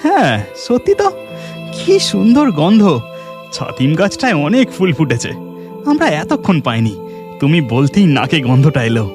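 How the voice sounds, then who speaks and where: A woman voicing an eager younger brother farmer in a lively put-on man's voice. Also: on the low side, music in the background, voice pushed down. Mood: casual. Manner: lively put-on youthful man's voice, fast bursts. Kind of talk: storybook narration with acted voices.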